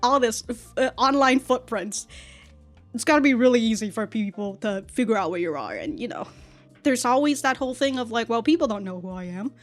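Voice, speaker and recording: A woman giving casual, rambling reaction commentary in an animated, sweary manner. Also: on the high side, a little fast, faint music behind. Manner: rambling, animated, laughs through remarks, swears. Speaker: reaction video host. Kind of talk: casual commentary on an anime episode.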